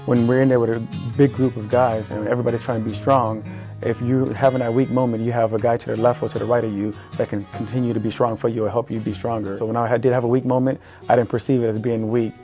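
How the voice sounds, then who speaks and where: A middle-aged father telling his own story in a testimonial video, earnest and halting with many pauses. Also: mid-range voice, level tone, warm tone, soft background music. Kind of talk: personal testimonial.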